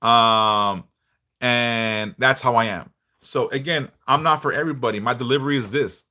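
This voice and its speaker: A middle-aged man a big bass voice, chatting bluntly and passionately on a livestream, swearing freely. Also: normal speed, pushed and bright. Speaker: livestream host, devotional teacher. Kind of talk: casual livestream chat.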